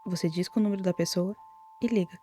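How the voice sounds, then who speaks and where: Brazilian female narrator, dark mellow tone, soft-spoken and wry, scripted horror commentary over faint music. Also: fairly low pitch, steady tone, fast. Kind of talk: whispered-style scripted narration, storytelling commentary.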